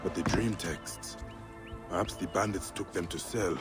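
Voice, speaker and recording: An actor playing a grave, resolute warrior protector of ancient Egypt in a video game, speaking in measured, solemn phrases. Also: male voice, sits low, natural voice. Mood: brooding. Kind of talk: video game cutscene acting. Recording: game music behind the voice.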